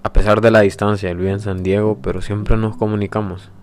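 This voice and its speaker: A man's voice: fairly low pitch, flat delivery. A man, introducing himself as a podcast host in a reflective, hesitant way. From El Salvador. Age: 20s. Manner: reflective, hesitant, with pauses and restarts. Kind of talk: personal introduction monologue. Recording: faint guitar music behind.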